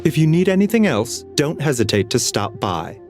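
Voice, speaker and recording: Casting: a gentle, measured doctor, lilting delivery, faint game music behind. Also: male voice, fairly high pitch, sing-song. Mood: calm. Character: soft-spoken male physician, calm and mysterious. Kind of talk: video game voice acting.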